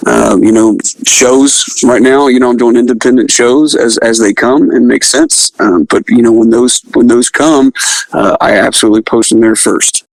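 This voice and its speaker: A man's voice: mid-range voice, fairly even intonation, moderate pace, creaky, no echo, rough recording. A man from Ohio, a musician guest talking in a laid-back, friendly way with lots of fillers. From Ohio, USA. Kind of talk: casual interview chat.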